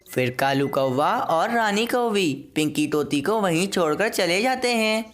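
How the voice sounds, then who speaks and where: A woman's voice: fairly low pitch, expressive, a little slow. A woman reading scripted narration for a children's moral story.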